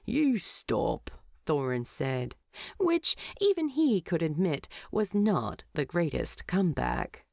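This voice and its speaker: A woman's voice: fairly low pitch, wildly swooping pitch, slow, darkish tone, dry recording, clean studio sound. A woman narrating a scripted story as an audiobook-style reader.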